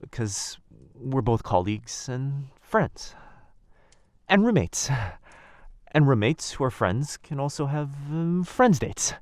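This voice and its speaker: A man playing a kind, flustered young wizard in an intimate boyfriend ASMR roleplay, speaking softly and gently with some hesitation. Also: medium pitch, big pitch swings, slow, dry recording, clean studio sound. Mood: flustered, awkward.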